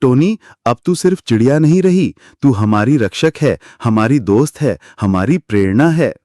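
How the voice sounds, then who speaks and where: A man's voice: mid-range voice, lively intonation, moderate pace, darkish tone, no room sound, clean studio sound, pitched above the performer's own voice, natural voice. A man voicing a cheerful, devoted male bird who speaks with chirpy admiration in a cartoon story. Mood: admiring.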